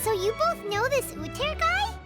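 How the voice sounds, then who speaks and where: A woman voicing a tiny, curious, childlike fairy companion with a squeaky voice in a video game. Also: very animated, normal speed, loud music behind the voice. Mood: curious, concerned. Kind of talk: scripted video game voice acting.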